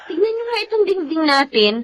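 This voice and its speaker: An actress playing a devoted, stubborn young adult Filipino daughter in a radio drama, emphatic and earnest. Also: female voice, extremely high pitch, very animated, brisk, a little hiss or hum. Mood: earnest, coaxing. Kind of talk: Tagalog radio drama acting.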